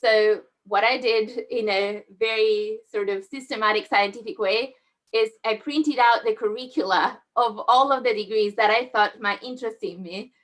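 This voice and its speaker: A middle-aged woman from southern Spain giving a friendly, measured career talk over slides in an online session, with frequent fillers. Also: fairly high pitch, a bit bright, poor audio. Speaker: guest speaker. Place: online video call.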